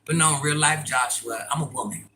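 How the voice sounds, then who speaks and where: A middle-aged woman with a deep voice, chatting casually and bluntly as she answers viewer comments in a livestream. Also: brisk, sharp tone, a little hiss or hum. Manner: blunt, sassy, talks straight to commenters.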